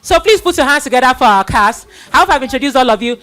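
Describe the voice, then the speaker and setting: High-voiced woman hosting a children's church event. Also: animated, quick pace, a bit bright. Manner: exhorting, repeats phrases. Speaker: host of a children's Bible play event. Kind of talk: live event hosting, introductions and thanks.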